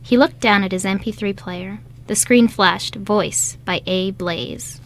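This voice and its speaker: A woman's voice: medium pitch, a little slow. A woman reading scripted story narration as the narrator of a radio play.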